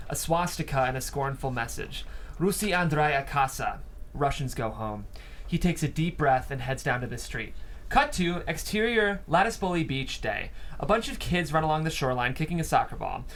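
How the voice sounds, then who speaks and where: Man, slightly high pitch, screenplay narrator, table-read host, short descriptive phrases, studio, faint background noise. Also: a bit bright. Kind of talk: reading screenplay stage directions aloud.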